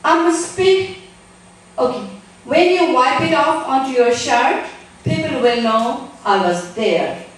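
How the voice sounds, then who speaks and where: A middle-aged woman speaks slowly and deliberately at a poetry open mic. Her voice is fairly high and comes from a distance in a very echoey room. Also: animated. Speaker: guest poetry reader. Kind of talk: introducing and reading spoken-word poetry. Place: small theater stage, indoors. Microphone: handheld microphone, recorded by a distant camera. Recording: very echoey room, picked up from afar.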